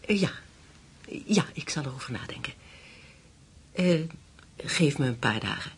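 An actress in a Dutch radio play voicing a composed, resolute widow with political convictions. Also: female voice, low voice, expressive, a little slow, a little hiss or hum. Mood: taken aback, weary.

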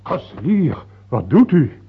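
A man's voice: a little high, sing-song, takes it slowly, breathy edge, full character voice. An actor playing a sardonic eminent churchman at court in a historical radio drama. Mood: alarmed. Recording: tinny old radio play with loud crowd.

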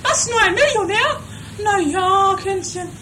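Haughty rich mother, piercingly high voice, melodramatic and money-minded, for trash radio comedy with clear background noise. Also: lively intonation, unhurried. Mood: haughty, indignant. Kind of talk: improvised 1980s trash comedy radio play. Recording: noisy lo-fi 1980s amateur radio recording.

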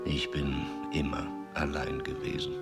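An actor in a radio play voicing an aged, solitary, disillusioned scholar who speaks in a deliberate, oratorical way with weighty pauses. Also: male voice, very high voice, huge pitch swings, heavily acted. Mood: weary, resigned. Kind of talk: German radio play acting. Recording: long hall reverb, music behind.

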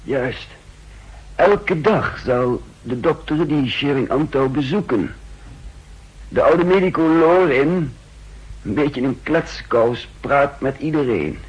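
An actor playing a secretive, calculating male intelligence official in a halting, confidential 1960s radio drama style. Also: mid-range voice, animated, husky, poor audio. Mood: earnest, confiding.

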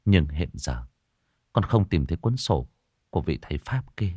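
A man's voice: deep voice, very animated, normal speed, darkish tone, slightly rough, no echo, studio quality, full character voice. A man voicing a troubled young man in an audiobook, speaking in an earnest, weary and respectful way. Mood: solemn pleading.

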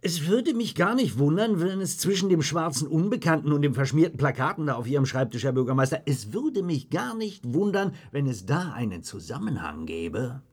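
A man playing a thoughtful, unruffled police detective in a children's audio drama, husky and deliberate as he muses. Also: fairly high pitch, very animated. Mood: musing. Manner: deliberate, hesitant, musing.

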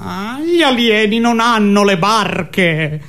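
A man playing an odd, earnest male owl in an absurdist radio comedy sketch, deadpan and stretching out his words. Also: high-pitched, slow, slight background noise, heavily acted. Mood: stubborn, deadpan. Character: odd male owl, earnest, literal-minded, uneasy seducer.

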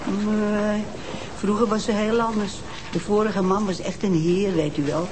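A woman's voice: medium pitch, steady tone, a little slow, natural voice. Actress as scornful woman, noisy soundtrack. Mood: indignant, scornful. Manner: outspoken, scornful. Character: indignant, snobbish sister of a murdered woman. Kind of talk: naturalistic TV crime drama dialogue.

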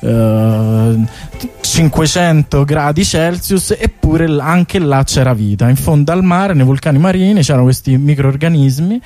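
A man's voice: a little high, expressive, measured pace, a little mellow. A man speaking as a guest in a casual radio interview, explaining things in a rambling, hesitant, informal way with repeated words. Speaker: guest artist presenting a bike tour project. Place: radio studio. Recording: music playing behind the voice.

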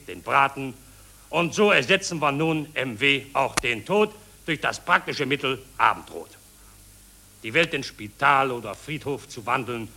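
An actor playing a pompous engineer-inventor who proudly declaims rhymed verse in a satirical radio play. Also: male voice, medium pitch, sharp tone, exaggerated voice. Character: pompous Berlin engineer-inventor proudly selling deadly plague.

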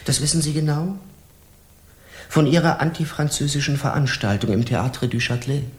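A man's voice: on the high side, normal speed, close to an everyday voice. An actor playing a harsh, scornful Parisian justice official in a German radio crime drama, curt and pompous as he interrogates. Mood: indignant, contemptuous.

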